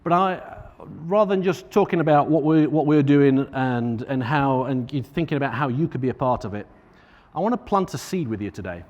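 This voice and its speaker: A man with a Northern English accent giving an informal, somewhat halting conference keynote as a charity partnerships manager. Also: mid-range voice, darkish tone, muffled recording. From Northern England. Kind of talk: conference keynote speech to an audience. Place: large conference room, on stage. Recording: echoey hall reverb.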